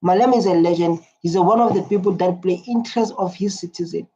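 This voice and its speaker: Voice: medium pitch, fast talker, warm tone, slightly rough. A reaction video host giving casual commentary and reading out viewer comments. The talk rambles, with frequent "like" and restarts. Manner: rambling, heavy use of "like" and restarts. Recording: dull lo-fi sound.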